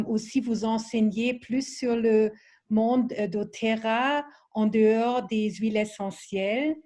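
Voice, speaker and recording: German-accented woman, slow and hesitant. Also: on the high side, warm tone. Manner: hesitant, many fillers and restarts. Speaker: presenter of a training webinar. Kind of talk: online training presentation.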